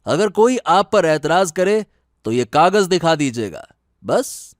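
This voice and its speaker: Cartoon role, male actor: dutiful, trusting younger brother, earnest and respectful, slightly high, very expressive pitch. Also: takes it slowly, no room sound, clean studio sound. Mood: obliging. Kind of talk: Hindi cartoon moral story acting.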